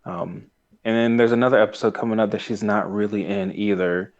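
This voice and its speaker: Dull muffled recording, man, podcast host, slightly low voice, casual review chat, hesitant, thinking aloud. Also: fairly even intonation, a little fast.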